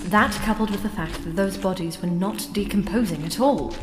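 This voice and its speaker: A woman's voice: mid-range voice, normal speed, natural voice. A woman playing a wary, earnest young adventurer in a fantasy audio drama. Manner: earnest, urgent. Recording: echoey room with music behind.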